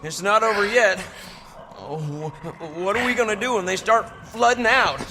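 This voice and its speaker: A man's voice: high-pitched, normal speed. An actor playing a jokey, brash male companion who talks casually. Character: wisecracking upbeat male companion. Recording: reverb with background noise.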